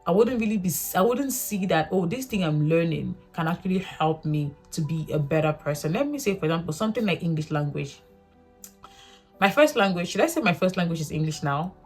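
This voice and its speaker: A woman's voice: fairly low pitch, lively intonation, a little fast, slightly rough, soft background music. A young woman from Africa with a local mother tongue, talking earnestly to camera in a rambling, self-correcting way. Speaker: vlogger. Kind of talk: casual reflective talk to camera.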